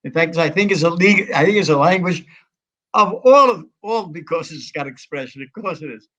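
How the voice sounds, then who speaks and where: A man in his nineties, an interview guest from the United States, reminiscing in a halting, rambling way full of restarts and fillers. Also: fairly high pitch, lively intonation, bright, forceful tone, highs cut off. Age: 90s. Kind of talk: conversational reminiscing.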